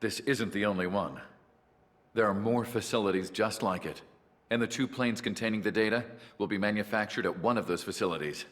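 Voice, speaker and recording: An actor voicing a calm, matter-of-fact male engineer in a game cutscene. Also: on the low side, a little fast, lightly acted.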